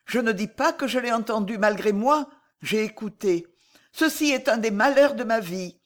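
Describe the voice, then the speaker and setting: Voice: mid-range voice, animated, normal speed, a bit bright, voice pushed up, close to an everyday voice. A woman voicing a guilt-ridden female spy in an audiobook novel, humble and imploring, with a strained, faltering delivery. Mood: ashamed.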